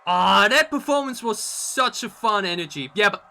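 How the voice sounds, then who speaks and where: Bright, high-pitched man reacting on camera. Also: normal speed, creaky. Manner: excitable, rambling, repeats phrases. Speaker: host of a K-pop reaction channel. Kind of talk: casual reaction commentary. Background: pop music from the video being watched.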